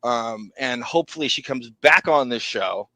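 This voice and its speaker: Bright chatty man podcast host. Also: mid-range voice, lively intonation, dry recording. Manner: chatty, digressive, quick to laugh and swear. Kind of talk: casual banter.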